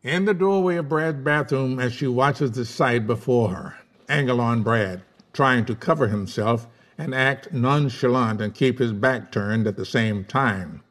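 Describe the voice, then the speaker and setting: A man's voice: medium pitch, unhurried, a bit bright. A man reading out stage directions as narrator of a live script table read.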